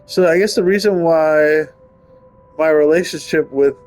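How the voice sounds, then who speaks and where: A man's voice: on the high side, unhurried, darkish tone, clear, resonant tone, soft background music. A man giving casual gameplay commentary and reading story text aloud, often stumbling and rereading. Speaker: gaming streamer.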